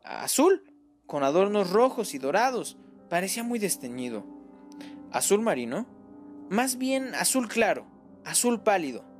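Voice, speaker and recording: A man's voice: high-pitched, very animated, unhurried, pauses often, soft background music, voice pushed up. A man doing a frantic, grieving mother. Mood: flustered. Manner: tearful, pleading, breathless outbursts. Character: distraught middle-aged mother, frantic and self-blaming.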